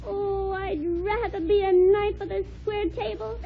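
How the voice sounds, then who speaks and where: An actress playing an eager young talking jackknife, boyish and earnest, in an old-time radio children's drama. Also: female voice, very high-pitched, steady tone, a little slow, vocal fry, exaggerated voice. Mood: wistful, hopeful. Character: eager young boy jackknife, wistful and friendly. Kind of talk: 1940s radio acting. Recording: dull, noisy old radio recording.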